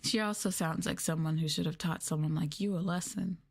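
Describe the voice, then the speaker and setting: A woman's voice: fairly low pitch, fairly even intonation, fairly quick. A woman livestreaming an ASMR roleplay, talking down to her viewers in a teasing, sultry, condescending way. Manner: teasing, condescending, mock-sadistic dominance.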